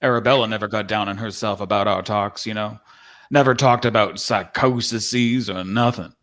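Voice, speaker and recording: A man's voice: lowish voice, fairly even intonation, normal speed, fairly bright tone, natural voice. A man playing a proud, folksy old-time cowboy spirit from a painting in a dramatic script reading, with boastful, rustic phrasing. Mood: proud, wistful.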